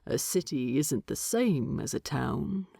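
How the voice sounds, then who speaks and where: A woman voicing a gruff, terse male dwarf in an audiobook, speaking seriously and with deliberation. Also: low-pitched, very animated, slow pace, mellow, no echo, clean studio sound, pitched below the performer's own voice, exaggerated voice. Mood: probing. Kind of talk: audiobook character voice.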